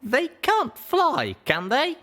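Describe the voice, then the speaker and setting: A woman voicing a young, curious and anxious female pony adventurer in an audiobook, with expressive, incredulous delivery. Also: high-pitched, slow pace, full character voice. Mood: nervous. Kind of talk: audiobook character dialogue.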